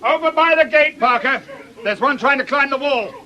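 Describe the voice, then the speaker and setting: An actor playing a brisk, commanding policeman in a radio drama, giving gruff, sardonic orders in a British accent. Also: extremely high pitch, bright, forceful tone, muffled recording. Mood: urgent commanding.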